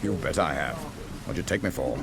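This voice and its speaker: An actor in a radio drama playing a slangy, mocking bully who makes cool threats to a rival. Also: male voice, extremely low pitch, animated, brisk, vocal fry, a bit bright, full character voice. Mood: mocking menace. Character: menacing, slangy upper-class man bullying a rival.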